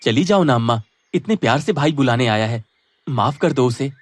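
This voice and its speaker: A man voicing a gentle, devoted young stepson in a Hindi cartoon story, with a husky voice. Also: mid-range voice, expressive, normal speed, dry recording, natural voice. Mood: gently coaxing.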